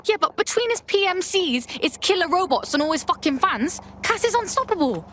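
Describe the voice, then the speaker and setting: A woman's voice: very high voice, big pitch swings, brisk, a bit bright, slight background noise, exaggerated voice. An actress playing a foul-mouthed young female rebel, brash and blunt.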